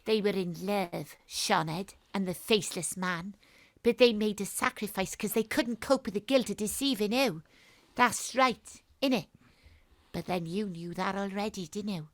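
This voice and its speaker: Woman acting a knowing, accusing investigator, gravelly edge. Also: medium pitch, unhurried, no room sound, close to an everyday voice. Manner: insistent tag questions, knowing. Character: probing, accusing woman investigator laying bare a secret. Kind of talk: audio drama acting.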